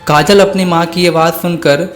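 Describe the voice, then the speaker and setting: Male storyteller for scripted horror narration, slightly high pitch, soft music bed. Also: fairly even intonation, normal speed.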